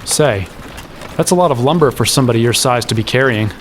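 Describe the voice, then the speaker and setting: A man's voice: medium pitch, fast, slight background noise, close to an everyday voice. An actor playing a cocky, sarcastic young man in an audio drama. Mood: confident, casual. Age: young adult.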